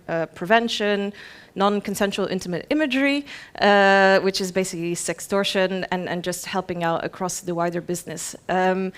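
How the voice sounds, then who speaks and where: A woman's voice: mid-range voice, clear, resonant tone. A woman in her thirties from Belgium giving an informal conference presentation in a chatty, self-deprecating way. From Belgium, grew up in Bruges. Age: 30s. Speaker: conference speaker, head of product.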